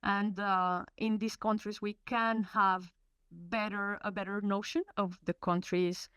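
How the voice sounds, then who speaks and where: A woman's voice: mid-range voice, little pitch movement, a little slow, fairly bright tone, clear, resonant tone, dry recording. A woman from Colombia, an English speaker, presenting in a webinar with many fillers and restarts. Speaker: research program presenter. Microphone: video call.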